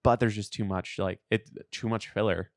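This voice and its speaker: A man's voice: lowish voice, steady tone, normal speed, dry recording, clean studio sound. A man co-hosting a podcast in casual, playful pop culture banter. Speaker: podcast co-host.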